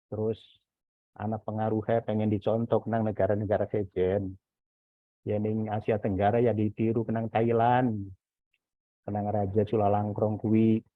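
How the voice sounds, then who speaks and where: A man giving informal, didactic feedback as a university lecturer in an online class. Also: lowish voice. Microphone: video call. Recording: echoey, phone-like video-call audio.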